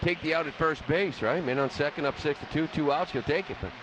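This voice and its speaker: A man's voice: fairly high pitch, a little fast, background noise. An American man giving conversational analysis during a live baseball radio broadcast. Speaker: baseball color commentator. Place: stadium broadcast booth. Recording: muffled radio broadcast, loud stadium ambience. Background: loud ballpark ambience.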